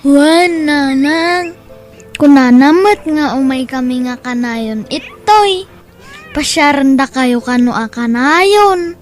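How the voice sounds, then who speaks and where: A woman with an Ilocano accent playing a poor, dutiful young boy in an audio drama, speaking earnestly in a drawn-out way. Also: high voice, expressive, faint music behind. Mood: respectful, dutiful.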